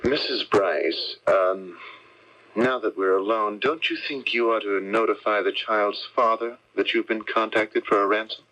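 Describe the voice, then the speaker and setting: A man playing a courteous, bookish lawyer turned investigator in a 1970s radio mystery, speaking in a measured, polite way. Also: on the low side, pushed and bright. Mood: measured, probing. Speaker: radio drama actor. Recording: dull 1970s AM radio recording.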